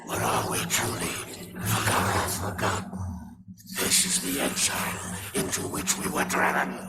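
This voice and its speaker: An actor voicing an eerie, bitter exiled demonic spirit in a video game, taunting and ominous. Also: male voice, sits low, animated, a little slow, gravelly, very noisy, full character voice. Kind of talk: dramatic video game acting.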